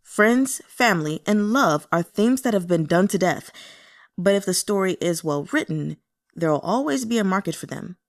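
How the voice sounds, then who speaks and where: A woman voicing a curt, listless male book editor in an audiobook, measured and critical. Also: sits high, expressive. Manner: measured, dismissive. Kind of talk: audiobook character dialogue.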